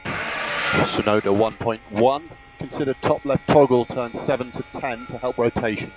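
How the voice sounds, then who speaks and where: Male race engineer, team radio effect, muffled lo-fi sound, clipped measured delivery, repeated instructions. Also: medium pitch, soft background music. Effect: team radio transmission.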